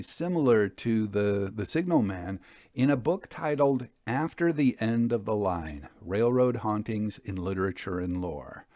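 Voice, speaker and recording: A man with a fairly low voice hosts a storytelling podcast. He narrates and comments in a measured, deliberate, bookish way, and the sound is dry with very little room. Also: dry recording. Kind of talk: literary storytelling and scripted commentary.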